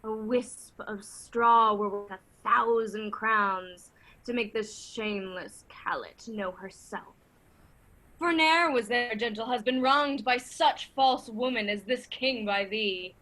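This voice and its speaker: A woman acts a proud young claimant to the crown in a Shakespearean play. The voice is fairly high, with a bright, forceful edge. The verse is declaimed slowly, haughty and defiant. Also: low-quality recording. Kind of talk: Shakespearean staged reading. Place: remote reading over a video call.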